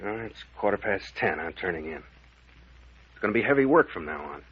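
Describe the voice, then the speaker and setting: Commanding captain, husky voice, bossy, clipped, old-time radio drama, dull vintage recording. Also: male voice, low-pitched, very animated, fairly quick, full character voice. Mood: bossy, tired. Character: gruff, commanding captain investigating a murder. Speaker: actor.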